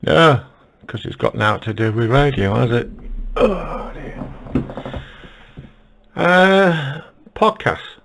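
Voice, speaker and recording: A man's voice: medium pitch, lively intonation, very slow, creaky, long pauses, a bit bright. A man with a northern English accent chatting casually as an amateur radio operator, blunt and grumbling with dry humour. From United Kingdom.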